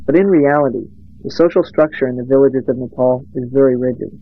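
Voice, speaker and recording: A man narrating a documentary in measured, plain storytelling. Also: mid-range voice, no room sound. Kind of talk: scripted narration. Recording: dull lo-fi recording.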